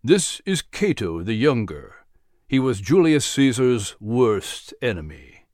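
A man voicing a grave, wise mentor in a storybook drama, speaking solemnly and deliberately. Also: mid-range voice, big pitch swings, darkish tone, no echo, studio quality. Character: grave, wise male mentor guiding through history. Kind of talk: storybook character voice.

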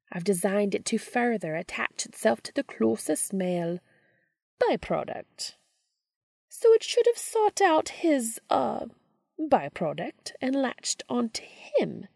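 Scottish witch, acted by a woman, haughty, exasperated, swooping high pitch, creaky edges, condescending explanations, audio story. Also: sing-song, slow pace, darkish tone, dry recording, studio quality, exaggerated voice. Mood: exasperated, smug. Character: smirking Scottish witch, grousing, bemused, haughty spellcaster.

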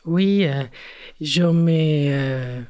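A woman's voice: deep voice, darkish tone. A woman from Mexico City with a Mexican Spanish accent, answering interview questions in French with many hesitations and fillers. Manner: hesitant, many pauses and "euh" fillers, restarts. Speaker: guest, cultural worker and curator.

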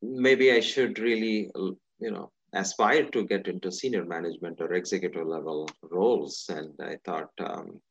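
Deep-voiced Indian-accented man, dry sound. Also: steady tone, creaky, breathy edge, no room sound, highs cut off. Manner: hesitant, halting, fragmented phrasing. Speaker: interview guest, business executive. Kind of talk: autobiographical interview answer.

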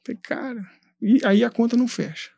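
A man telling his business story in a casual interview, animated, swearing and acting out his past thoughts. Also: very high voice, a little fast, creaky, dry recording. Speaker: entrepreneur guest.

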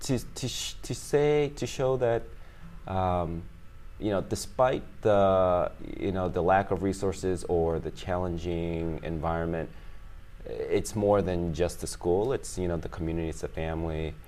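A man, talking as an interview guest, halting with many restarts and fillers. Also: low-pitched, darkish tone. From Chicago, USA. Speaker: guest, finance executive. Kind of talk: casual interview conversation.

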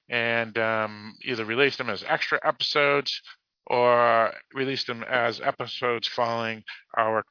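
A man with a Boston accent hosting a podcast in casual talk, halting and correcting himself as he reads out messages. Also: mid-range voice, dry recording, lo-fi sound. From United States, Boston area. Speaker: podcast host.

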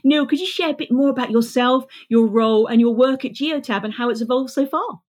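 A woman's voice: high-pitched, fairly quick. A woman hosting an interview show, asking questions in an enthusiastic, encouraging way. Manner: warm enthusiastic questioning, some restarts. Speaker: podcast host.

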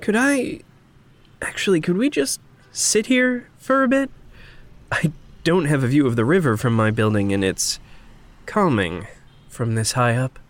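Troubled male apprentice mage, slow hesitant delivery, lively pitch, guarded, polite, audio drama. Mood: subdued. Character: Liam, troubled, self-blaming young mage in training. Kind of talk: naturalistic audio drama acting.

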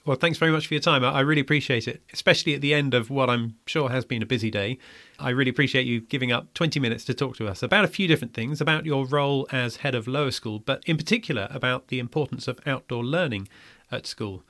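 A podcast host introducing and interviewing a guest in a measured, conversational way. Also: mid-range voice, fairly bright tone.

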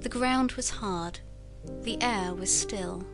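Reflective old-novel narrator, mid-pitched voice, measured and thoughtful, music playing behind. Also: female voice. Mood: contemplative. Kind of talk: literary dramatic reading.

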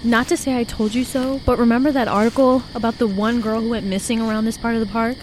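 Suspicious, stubborn young female hiker, played by a woman, higher pitch, urgent and natural, audio drama. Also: fairly even intonation, fast, darkish tone, slight background noise, close to an everyday voice, poor audio. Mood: uneasy, musing. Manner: earnest, insistent, urgent. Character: suspicious, headstrong young woman lost camping, haunted by lake.

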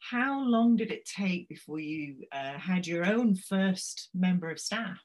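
Woman podcast host, slightly low voice, friendly questions. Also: animated, unhurried, darkish tone. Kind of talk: interview hosting.

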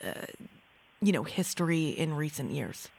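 A woman hosting a radio interview who speaks warmly and pays compliments, with many fillers and restarts. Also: low voice, big pitch swings, unhurried, vocal fry, husky. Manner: warm, complimentary, with frequent fillers. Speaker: radio host. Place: radio studio.

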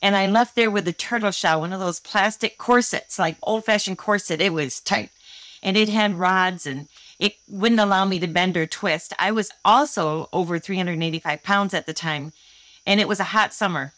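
A woman's voice: mid-range voice, moderate pace, fairly bright tone, no room sound. Candid older woman with a dry, close sound. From Holland, Michigan, USA. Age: 60s. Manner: earnest, candid storyteller. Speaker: guest inventor. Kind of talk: casual interview storytelling.

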